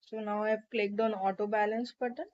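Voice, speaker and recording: A woman narrating a step-by-step software tutorial in an instructional, explanatory way. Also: mid-range voice, steady tone, normal speed. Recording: dull, lo-fi microphone sound.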